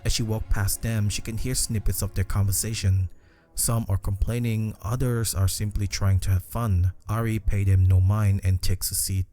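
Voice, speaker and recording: Dude doing scripted essay narration, soft dark tone, kinda low, bit of music behind. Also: male voice, fairly even intonation, normal speed.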